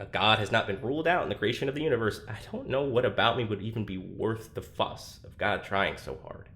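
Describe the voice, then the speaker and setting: An actor playing a troubled, anxious young man in a confessional audio drama, speaking haltingly with self-deprecating, dry sarcasm. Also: fairly low pitch, fairly bright tone, slight background noise.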